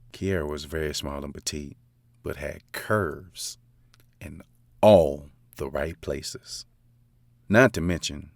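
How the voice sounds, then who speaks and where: A man's voice: deep voice, dry recording, clean studio sound. A man narrating a first-person romance story in a casual, confiding, slangy way. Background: faint background music.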